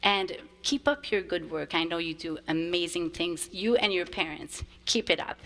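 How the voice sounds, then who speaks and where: A woman whose first language is French, a choir director, gives a gentle children's message in church. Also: fairly low pitch, normal speed. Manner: warm, simple storytelling to children. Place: church sanctuary. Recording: long reverb of a church sanctuary.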